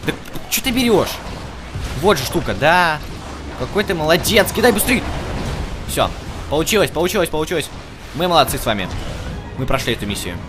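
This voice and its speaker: A man with a fairly high voice hosts a let's-play, commenting casually as he goes. He thinks aloud and chats to the game's characters. Game music plays clearly behind him. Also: big pitch swings, a little fast, long pauses. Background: video game music.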